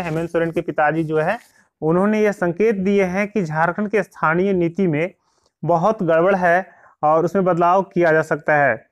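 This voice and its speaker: A man hosts an informal online news update. He addresses viewers directly in an explanatory way, sometimes slipping into reading aloud. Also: high voice. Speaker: YouTube channel host.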